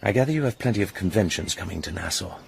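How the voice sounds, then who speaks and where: Casting: smooth-mannered male spy, husky low voice, probing and flirtatious, for radio drama. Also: lively intonation, fast, exaggerated voice. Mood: probing, businesslike. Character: suave, probing British secret agent.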